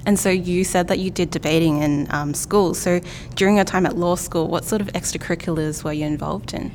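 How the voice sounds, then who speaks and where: A young woman hosting a student podcast, reading a polite introduction and asking measured interview questions. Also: fairly low pitch, fairly even intonation, darkish tone, slight background noise. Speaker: podcast host.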